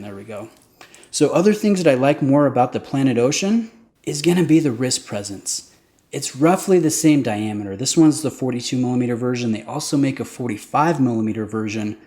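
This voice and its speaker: A man's voice: mid-range voice, a little mellow. A man hosting his own channel, talking casually and with enthusiasm as he explains the details of a watch. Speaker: watch reviewer. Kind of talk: casual product review to camera.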